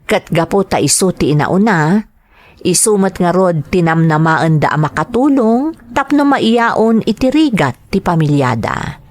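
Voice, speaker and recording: Ilocano-accented woman, slightly low voice, podcast host, scripted reading, very dry recording. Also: lively intonation, measured pace, no echo. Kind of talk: scripted narration, reading greetings and letters.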